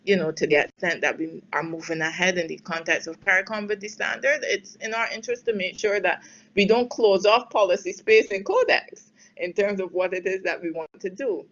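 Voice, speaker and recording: A woman's voice: medium pitch, fairly quick, a bit bright, lo-fi sound. Woman from Barbados fielding policy questions. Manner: thoughtful, many fillers and restarts, emphatic in places. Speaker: trade policy expert answering webinar questions. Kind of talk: spontaneous expert Q&A on a webinar.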